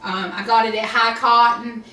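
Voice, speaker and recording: A woman's voice: a little high, level tone, normal speed, pushed and bright. A woman giving a casual devotional talk from a home kitchen. She chats in a rambling, easygoing way. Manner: chatty, rambling with fillers. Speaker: devotion speaker. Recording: roomy, distant mic, faint hiss.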